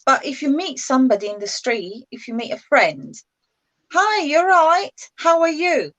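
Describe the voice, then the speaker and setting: A woman speaks in a fairly high voice. She is a guest in a casual chat, explaining points of English in a conversational way. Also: very animated, moderate pace, a bit bright, low-quality recording.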